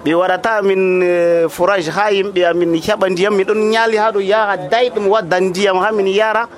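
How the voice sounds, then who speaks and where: A man who trades at an outdoor market, interviewed in the field and speaking with hesitant pauses. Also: high voice, little pitch movement. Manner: hesitant, halting talk.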